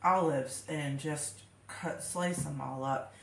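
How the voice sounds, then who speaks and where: A woman's voice: low voice, fairly even intonation, slow pace, vocal fry. Stuffed-up woman chatting in a kitchen. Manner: chatty, thinks aloud with fillers. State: stuffed-up nose. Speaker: home cook vlogger. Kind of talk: casual kitchen demonstration. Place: home kitchen. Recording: echoey kitchen with background noise.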